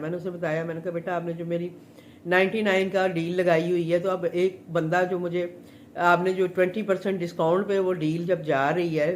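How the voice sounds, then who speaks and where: A middle-aged woman talks on a live stream from her home kitchen. Her throat is a little sore. She rambles with plenty of fillers, and there is a faint hum of room noise behind her. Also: lowish voice. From Pakistan. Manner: chatty, rambling, many fillers. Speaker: home chef. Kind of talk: casual live chat.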